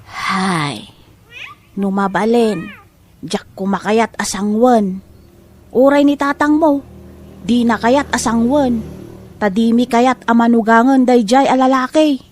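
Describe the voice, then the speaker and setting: A woman's voice: fairly high pitch, slow pace, pauses often, darkish tone, slight background noise. A woman playing a stern, disapproving mother of a grown daughter in an audio drama, with an Ilocano accent.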